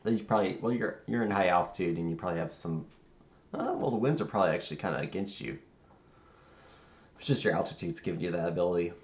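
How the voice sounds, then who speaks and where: A man streaming as a virtual air traffic controller, chatting casually in a halting, rambling way as he thinks aloud. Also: low voice. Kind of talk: casual livestream chat. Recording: roomy sound with faint background noise.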